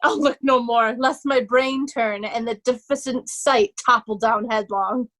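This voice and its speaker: A woman's voice: a little high, fairly even intonation, unhurried, fairly bright tone, no echo. A woman playing a young nobleman in peasant disguise, urgently and vividly guiding, in an amateur Shakespeare reading. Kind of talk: amateur Shakespeare reader's theatre.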